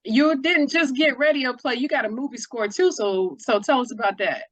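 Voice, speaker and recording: A woman's voice: high-pitched, moderate pace, no echo. A woman hosting a casual interview, talking in an encouraging, slangy, conversational way. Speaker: interviewer, host.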